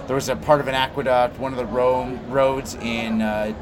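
A man from Belgium answers interview questions in a relaxed, rambling, storytelling manner. His voice is mid-pitched, and clear background noise from a busy convention floor surrounds him. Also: normal speed. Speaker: comic writer guest.

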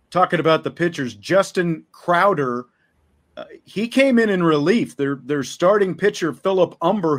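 A man hosting a sports talk show, interviewing conversationally and recapping games, rambling a little and repeating words mid-phrase. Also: on the high side.

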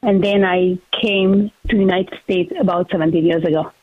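A woman in her forties from Ethiopia, a guest candidate speaking earnestly with many pauses and fillers. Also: on the low side, steady tone, dry recording. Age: 40s. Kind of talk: phone interview on talk radio. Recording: tinny phone line.

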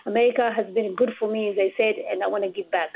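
A woman, answering interview questions earnestly with frequent pauses and fillers. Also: mid-range voice, fairly even intonation, vocal fry, a little hiss or hum. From Ethiopia. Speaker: political candidate. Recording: tinny phone line.

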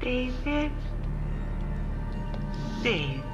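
A woman playing a sinister, taunting old sleepwalker in an audio horror drama, with drawn-out, unhinged delivery. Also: sits high, very animated, muffled recording, music in the background, full character voice. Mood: eerie taunting. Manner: drawn-out phrases broken by unhinged laughter.

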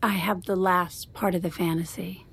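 An actress playing a lonely, hesitant woman in a naturalistic audio drama, with a halting, hushed and candid way of talking. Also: fairly low pitch, fairly even intonation, creaky, breathy edge, full character voice. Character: lonely, hesitant woman who records intimate fantasies.

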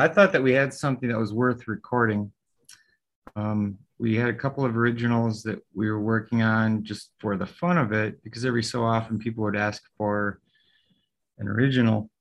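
A man, a guest musician, telling his story hesitantly in a casual interview, with many "um"s. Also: medium pitch. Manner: hesitant, starts with "um" and "I don't know".

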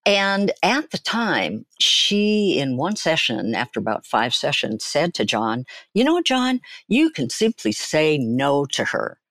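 An older woman shares personal stories as a guest in an interview. Her pace is slow and deliberate, with emphatic pauses and a highly animated rise and fall in pitch. The recording is close and dry. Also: dry recording. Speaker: guest, therapist and author.

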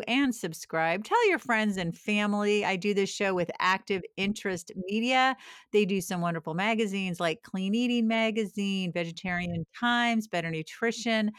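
A woman's voice: mid-range voice, a bit bright, no echo, clean studio sound. A woman hosting a health podcast, talking in an upbeat, enthusiastic way. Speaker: podcast host. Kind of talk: podcast interview and book promo.

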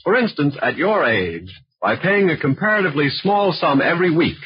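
A male 1940s radio announcer with a persuasive, emphatic sales delivery. His very husky voice moves in animated swoops, on a dull old recording. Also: a little high, normal speed. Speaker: announcer and insurance pitchman. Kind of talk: scripted 1940s radio advertising. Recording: muffled vintage radio recording.

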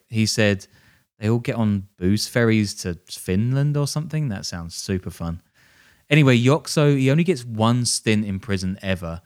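A man hosting a podcast, telling a crime story casually with jokey, sardonic asides. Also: on the low side. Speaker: podcast host.

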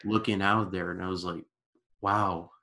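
A man's voice: sits low, fairly even intonation, measured pace, creaky, bright, forceful tone. A man guesting on a casual podcast, enthusiastic and repetitive, full of "like" fillers. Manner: enthusiastic, repeats himself, many "like" fillers.

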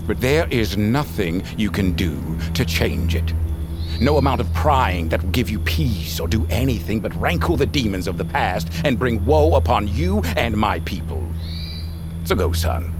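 An actor playing a stern, commanding elder of an animal tribe in a fantasy audio drama, speaking in grave, archaic phrases. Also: male voice, lowish voice, very animated, moderate pace, noisy background.

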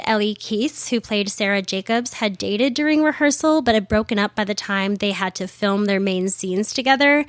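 Chatty lady podcaster, kinda high voice, a bit hoarse, dry close mic. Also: a bit bright, no echo. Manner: chatty, wry asides. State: slightly losing her voice. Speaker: podcast host. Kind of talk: casual storytelling podcast.

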